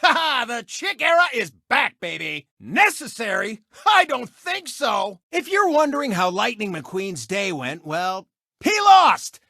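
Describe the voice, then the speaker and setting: An actor voicing a cocky, boastful talking race car who hosts a sports show in a video game, with smug, showy, mocking commentary. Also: male voice, extremely high pitch, very animated, a little slow, gravelly, pushed and bright, dry recording, studio quality, full character voice. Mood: gloating, taunting. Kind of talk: animated sports commentary and taunting.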